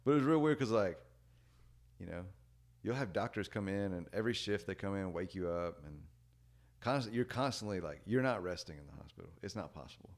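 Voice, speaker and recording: A young man chatting casually as a podcast guest, rambling with lots of "like" and "dude" and letting his sentences trail off quietly. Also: low-pitched, brisk. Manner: rambling, many "like" and "dude" fillers; relaxed, trails off quietly at ends of thoughts. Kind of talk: casual storytelling chat.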